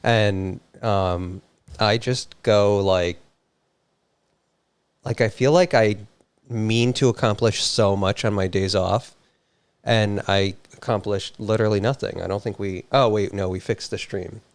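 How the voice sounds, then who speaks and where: Low gravelly man rambling casually. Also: fairly even intonation, unhurried, dry recording. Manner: rambling, lots of "like" fillers, playful teasing. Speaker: streamer co-host. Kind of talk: casual live-stream chat.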